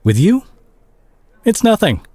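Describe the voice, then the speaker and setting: A man's voice: high voice, sing-song, husky, no room sound, exaggerated voice. A man playing a weary, worried superhero talking intimately to an injured teammate in an audio roleplay. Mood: exasperated worry. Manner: hushed, halting, sighing, turning exasperated.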